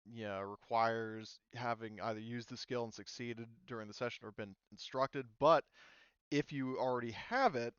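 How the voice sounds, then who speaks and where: A man's voice: mid-range voice, normal speed, a bit bright, clear, resonant tone, no room sound, muffled recording. A man working as game master, casually explaining tabletop game rules in an informal way.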